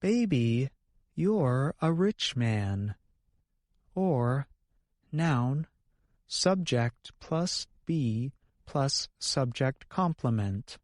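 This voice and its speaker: Male lesson co-presenter, scripted, drawn-out careful pacing, no room echo. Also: medium pitch, big pitch swings, darkish tone, clean studio sound. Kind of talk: scripted example reading.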